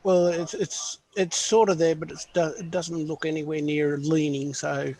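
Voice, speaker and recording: Man, higher pitch, easygoing, chuckling, casual talk. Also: level tone, moderate pace, a little mellow, no echo. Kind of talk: casual chat.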